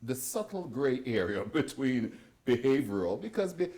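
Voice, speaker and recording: A man's voice: medium pitch, moderate pace. A man hosting a talk show, putting questions to his guests and jumping in to push back. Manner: animated, jumps in with questions.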